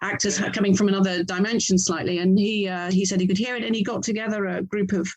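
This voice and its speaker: A woman's voice: mid-range voice, steady tone, quick pace, darkish tone, low-quality recording. Chatty, reflective middle-aged English woman. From England. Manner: chatty, reflective, often self-interrupting. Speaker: author and audio drama producer being interviewed. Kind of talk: conversational interview answers.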